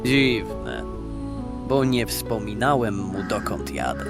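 An actor playing a suspicious, gruff younger brother, a wounded fantasy warrior in an audio drama who speaks curtly and growls under his breath. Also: lowish voice, very animated, slow, heavily acted. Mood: curt, distrustful. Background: loud background music.

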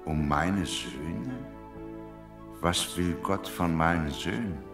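Humble old-time farmer dad in a bible radio play, deep, slow, really expressive swings. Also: male voice, gravelly, music in the background, exaggerated voice. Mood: humble, astonished. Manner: deliberate, earnest, expressive. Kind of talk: German Bible audio drama acting.

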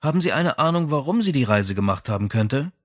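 Brisk policewoman, read by a man, dry sound. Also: medium pitch, big pitch swings, dry recording. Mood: brisk, insistent. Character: businesslike, persistent policewoman questioning witnesses.

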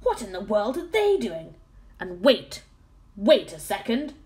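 Blustery old ship captain, woman putting him on, stammery and excitable, voice jumping all over. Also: mid-range voice, a little slow. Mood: astonished.

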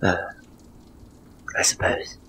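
A man voicing a gentle, devoted young woman in a ghost story, soft, breathy and hesitant. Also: slow, a little hiss or hum.

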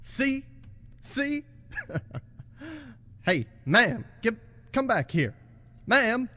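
A man's voice: very high-pitched, big pitch swings, very slow, bright, forceful tone, slight background noise, heavily acted. A man acting a bullying, mocking toy cowboy who talks in folksy cowboy phrases in a student radio play. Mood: taunting. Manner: taunting, folksy cowboy talk. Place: college radio studio, live broadcast.

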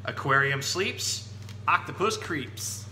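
A man's voice: fairly high pitch, slow. A man in his thirties presenting to children as an enthusiastic, explanatory storytime host. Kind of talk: casual educational talk for children, picture-book reading. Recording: roomy echo, steady background hum.

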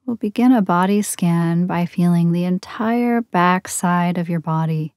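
A woman leading a guided meditation in a soothing, gentle, unhurried manner with long pauses between phrases. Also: on the low side, no echo, clean studio sound. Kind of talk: guided yoga nidra meditation.

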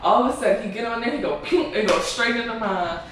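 A woman's voice: fairly high pitch, fast talker. Giggly young woman vlogging in an echoey kitchen. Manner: giggly, chatty, animated storytelling. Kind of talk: casual vlog chat. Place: home kitchen, indoors. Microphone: camera across the room. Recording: echoey room, far from camera, background noise.

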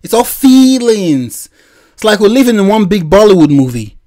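Animated, laughing man ranting. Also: high voice, normal speed. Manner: laughs often, exclaims, rants. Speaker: reaction video host. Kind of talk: mocking reaction commentary.

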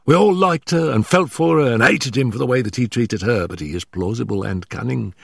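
A man reading an audiobook, voicing a nervous male estate manager who stammers and talks in hurried, breathless bursts. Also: medium pitch, vocal fry, a bit bright, dry recording, clean studio sound, voice pushed up. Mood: bitter, pleading.

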